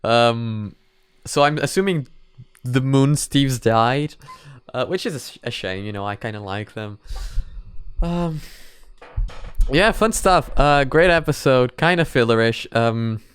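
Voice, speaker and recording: A man's voice: mid-range voice, expressive, unhurried, clean tone, dry recording. A man giving casual, off-the-cuff reaction commentary, rambling and hesitant as he thinks aloud. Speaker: host of a reaction video.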